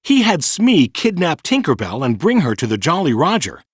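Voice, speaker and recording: A man reading a children's storybook in an animated, dramatic storytelling manner. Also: on the high side, moderate pace, slightly rough, dry recording, clean studio sound. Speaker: storybook narrator. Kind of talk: scripted children's storybook narration.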